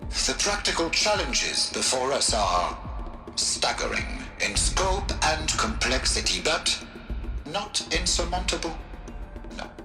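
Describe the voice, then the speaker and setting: A man's voice: a little high, very animated, slow, heavily acted. A man playing a stern, dignified scientist, giving a precise, formal and pompous briefing in a video game. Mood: solemn, firm. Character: stern, dignified francophone scientist in charge of a program. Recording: roomy echo with music behind.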